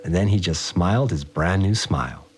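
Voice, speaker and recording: An actor playing an awed, rough-spoken working man who recounts strange events in a slangy, rambling audio diary for a fiction podcast. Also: low-pitched, moderate pace, faint music behind, lightly acted. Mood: awed, stirred. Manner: slangy, rambling, unsure of his words. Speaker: fixer, a manual worker.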